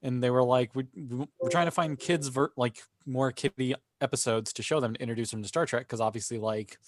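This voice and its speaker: Male panelist, casual conversational delivery, mid-range pitch, dry recording. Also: steady tone, brisk, no echo. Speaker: panelist on a fan talk show. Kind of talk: casual chat.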